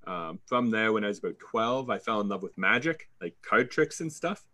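Canadian man in his 30s, lowish register, confident interview guest. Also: expressive, normal speed, pushed and bright. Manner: confident, fluent storytelling with occasional restarts. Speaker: sales coach guest. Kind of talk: interview answers.

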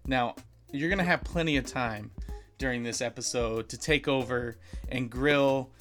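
Male podcast host, medium-pitched, music behind. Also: normal speed, fairly bright tone. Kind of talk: casual podcast interviewing.

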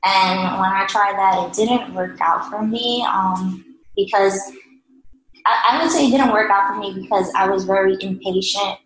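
A woman's voice: medium pitch, moderate pace, fairly bright tone. A young woman from New York City, a guest artist answering interview questions casually, hesitant and full of fillers. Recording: echoey room with faint noise.

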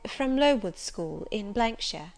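A woman voicing a composed, polite young governess in an audiobook, measured, formal and candid. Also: mid-range voice, lively intonation, a little mellow. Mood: deferential.

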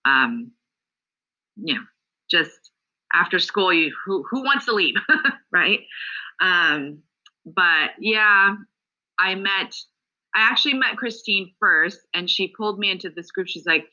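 A woman's voice: fairly low pitch, lively intonation, slow pace, long pauses, pushed and bright. A woman from Alaska casually sharing personal stories as a guest, heard in a dull, lo-fi recording.

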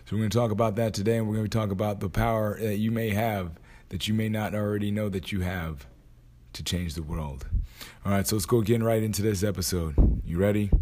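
Deep raspy guy doing a chill solo podcast, hoarse voice, thinks out loud. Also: brisk. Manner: relaxed, thinking aloud with restarts. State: hoarse from a night out. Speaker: podcast host. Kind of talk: casual motivational monologue.

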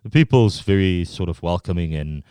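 A middle-aged South African man with an Afrikaans accent, a guest talking casually and haltingly in an interview, with many restarts. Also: low-pitched, expressive, mellow, clean tone, no room sound, clean studio sound. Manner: halting, full of restarts and fillers.

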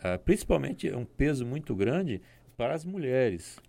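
A man speaking as a guest on a discussion program, explaining in a measured way with frequent restarts. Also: mid-range voice, big pitch swings, creaky, mellow, no room sound.

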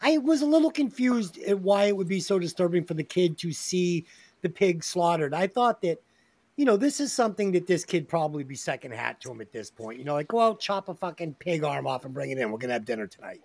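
A man hosts a relaxed movie review podcast. His pitch moves a lot as he reads out a plot summary, tripping over names, then slips into loose chat about the film. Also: a little fast, gravelly. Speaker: podcast host.

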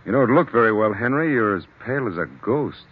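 An actor playing a brisk, exasperated business partner in an old radio drama, insistent and full of sharp questions. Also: male voice, medium pitch, lively intonation, slightly rough. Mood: exasperated, concerned. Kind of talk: 1940s radio drama acting. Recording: muffled vintage radio recording.

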